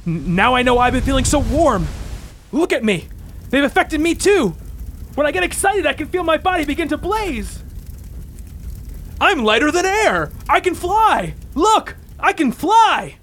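A man playing an eager, excitable young superhero with fire powers in an amateur comic-book radio play, gushing breathlessly. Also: extremely high pitch, moderate pace, slight background noise, exaggerated voice. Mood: excited, alarmed.

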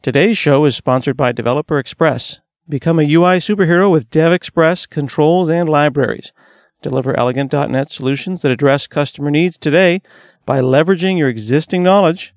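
A man's voice: a little high, brisk, no room sound, studio quality. A man hosting an interview podcast, talking in a conversational presenter's way. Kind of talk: conversational tech interview with sponsor read.

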